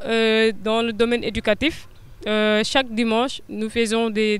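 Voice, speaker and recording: A woman's voice: fairly high pitch, smooth. A young woman from Senegal with a Senegalese accent, a guest answering interview questions earnestly, hesitating often with filler sounds.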